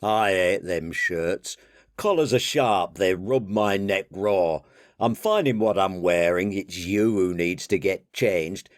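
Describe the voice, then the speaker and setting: A man's voice: deep voice, takes it slowly, no echo, clean studio sound. A man voicing a weary, good-humoured middle-aged father who grumbles and mumbles. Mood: grumbling. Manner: grumbling, mumbling, plain-spoken.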